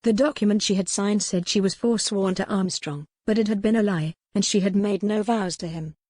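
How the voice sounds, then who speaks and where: A woman's voice: medium pitch, moderate pace, a little mellow, no echo, studio quality. A woman reading scripted, dramatic historical romance narration as an audiobook narrator.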